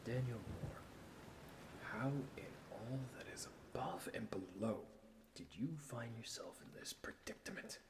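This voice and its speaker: Man acting a slow, tormented man, echoey. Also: medium pitch, close to an everyday voice. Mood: brooding, muttering. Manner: halting, anguished, dropping into whispers. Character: tormented, guilt-ridden man arguing with his own mind. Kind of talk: audio drama acting. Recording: echoey room, clear background noise.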